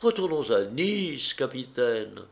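A man's voice: medium pitch, big pitch swings, slow, creaky, full character voice. A man voicing a cowardly artist passenger in an audiobook, anxious and pleading with a theatrical false assurance. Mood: fearful. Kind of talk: audiobook character voice.

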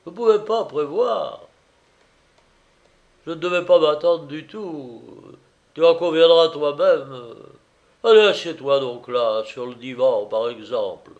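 A drunken master of the house, pitched up and loud. He stammers through slurred consonants, swinging between maudlin self-pity and mock dignity as he plays the magnanimous lord. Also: measured pace, lo-fi sound. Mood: awkward politeness.

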